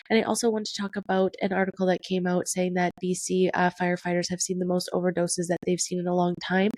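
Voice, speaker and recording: A woman's voice: medium pitch, darkish tone, no room sound. A young woman with a cold, her voice nasal and congested, hosting a casual news commentary podcast and reading articles aloud with chatty asides. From Canada. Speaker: podcast host.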